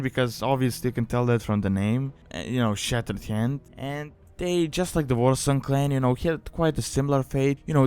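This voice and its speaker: Man, medium pitch, clear smooth voice, casual tutorial narration, rambling delivery full of verbal fillers, music underneath. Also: darkish tone. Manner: rambling, peppered with "you know" and "like". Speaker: guide host, gaming video maker. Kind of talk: casual explanatory tutorial voice-over.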